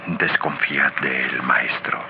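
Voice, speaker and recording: A man's voice: rumbling low, fairly even intonation, takes it slowly, slight background noise. A man playing a wary, earnest disciple with grave, emphatic radio drama delivery. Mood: grave warning. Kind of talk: mid-century radio drama acting. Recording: dull lo-fi radio recording, loud music.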